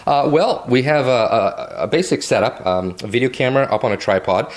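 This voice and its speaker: A man with a deep voice answering interview questions casually at home, with lots of fillers and restarts. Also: brisk. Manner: relaxed, chatty, frequent fillers and restarts. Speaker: tech video maker interviewed as a guest. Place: home basement office.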